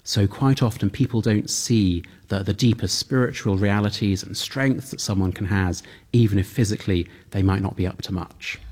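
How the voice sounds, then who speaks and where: Low-voiced Welsh-born priest explaining earnestly. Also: male voice, normal speed, hardly any pauses. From Wales. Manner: earnest, gently humorous, explanatory. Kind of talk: interview answers.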